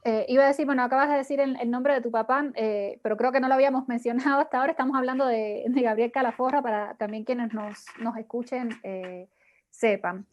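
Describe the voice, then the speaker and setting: A woman hosting an interview, asking long questions in a measured way and searching for her words. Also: on the high side, steady tone, dull audio. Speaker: host.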